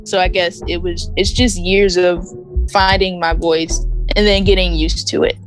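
A woman singer from Maryland with a soft-spoken voice, answering interview questions casually and laughing now and then. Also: lowish voice, flat delivery, moderate pace, faint music behind, poor audio.

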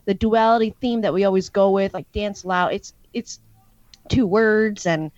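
A woman's voice: medium pitch, fairly even intonation, moderate pace, a little mellow, dry recording. Female guest, chatty and meandering storyteller, dull lo-fi dry audio. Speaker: musician guest. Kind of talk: casual storytelling.

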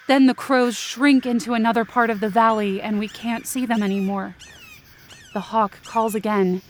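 A woman's voice: mid-range voice, close to an everyday voice. A woman acting an anxious young woman who narrates her frightening night hike in tense first-person audio drama. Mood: tense suspense.

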